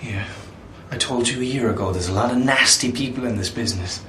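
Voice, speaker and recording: An Irish actor playing an embattled, weary newspaper reporter in a radio drama, terse and sardonic. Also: male voice, medium pitch, a little fast, fairly bright tone, a little hiss or hum, lightly acted. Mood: weary, evasive. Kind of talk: naturalistic radio drama acting.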